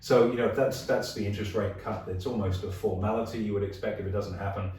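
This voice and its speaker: A hoarse, gravelly-voiced man with an Australian accent, hosting an investing podcast and explaining ideas through everyday examples. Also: lowish voice, steady tone, fast, a little mellow, heavy reverb, distant mic. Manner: explains with everyday analogies, frequent restarts. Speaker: podcast host. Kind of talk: casual investing podcast chat.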